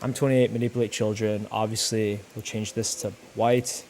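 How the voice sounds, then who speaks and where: A man's voice: lowish voice, level tone, moderate pace, darkish tone, slight background noise. A young man chatting casually and thinking aloud as he works, rambling in slangy, sweary language. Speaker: YouTuber livestreaming thumbnail making. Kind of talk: casual chat, thinking aloud while working.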